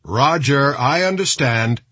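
A man's voice: medium pitch, animated, slow pace, fairly bright tone, husky, no echo, voice pushed up, heavily acted. A man voicing a military commander in an audiobook, answering over battlefield radio in clipped, urgent phrases. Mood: pressed, questioning. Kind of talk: audiobook character voice.

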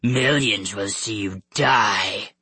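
Monstrous wolf-man villain played by a man, audiobook. Deep, raspy growl, slow and commanding, bloodthirsty. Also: sharp tone, dry recording, full character voice. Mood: menacing. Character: monstrous wolfish man, boastful, bloodthirsty video-game villain.

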